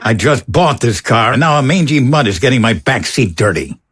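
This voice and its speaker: Radio-play husband: reluctant grumbler, sarcastic and put-upon, very lively intonation. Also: male voice, medium pitch, fairly quick, slightly rough. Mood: irritated. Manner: exasperated, sarcastic, mildly coarse. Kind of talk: audio drama acting.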